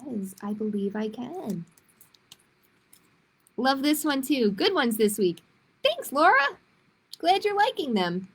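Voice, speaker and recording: A woman's voice: high-pitched, sing-song, takes it slowly, pauses often, husky. A woman hosting a children's storytime, reading picture books aloud in simple phrases with casual asides to her listeners. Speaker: children's librarian, storytime host.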